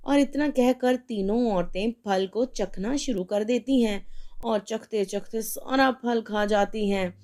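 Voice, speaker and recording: A woman's voice: a little high, takes it slowly, mellow. A woman narrating a scripted children's fairy tale as the storyteller.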